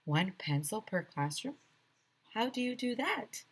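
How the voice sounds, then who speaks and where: A woman's voice: a little high, very animated, measured pace, slightly rough, voice pushed up, full character voice. A woman voicing exasperated school staff in a children's storybook, exclaiming in disbelief. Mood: incredulous protest. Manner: exclaiming, incredulous. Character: indignant, exasperated school teachers yelling protests. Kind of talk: children's storybook read-aloud.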